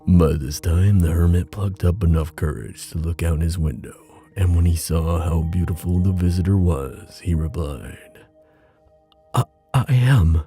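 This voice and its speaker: A man with a deep voice giving an intimate, soothing ASMR bedtime reading as a boyfriend character. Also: fairly even intonation, moderate pace, few pauses, warm tone. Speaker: ASMR boyfriend roleplay performer. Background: music.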